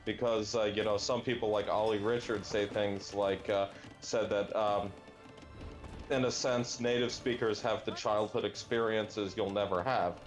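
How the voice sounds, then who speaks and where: A young adult man chatting casually while playing a game, musing aloud and often pausing with fillers and restarts. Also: fairly low pitch, fairly even intonation, faint music behind, rough recording. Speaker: language gaming guest. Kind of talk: casual chat while gaming.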